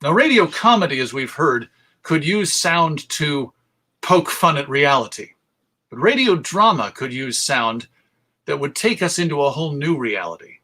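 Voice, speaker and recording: A man's voice: on the high side, big pitch swings, moderate pace, sharp tone. A man presenting an informal history talk as host, enthusiastic and emphatic as he lists examples. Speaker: presenter, radio show host.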